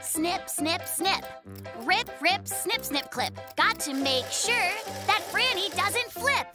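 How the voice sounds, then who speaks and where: An earnest, anxious little polar bear cub in a kids' cartoon. The very high, lively voice frets in singsong, with loud music underneath. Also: female voice, slow pace, exaggerated voice. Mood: nervous resolve. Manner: fretful, rhyming singsong muttering. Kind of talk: children's cartoon voice acting.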